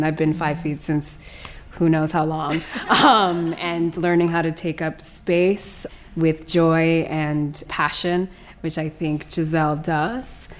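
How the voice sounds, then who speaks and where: Low-voiced woman, an actor being interviewed. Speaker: actor guest. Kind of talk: reflective post-show interview.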